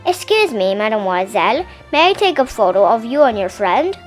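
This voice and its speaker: A woman's voice: on the high side, very animated, normal speed, soft background music, voice pushed down. A woman voicing a polite, friendly female photographer in a storybook reading. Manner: courteous.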